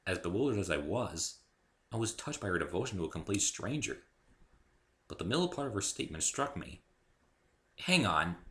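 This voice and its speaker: A man reading a story aloud in the first person as an amateur narrator, expressive and theatrical. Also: on the low side, brisk.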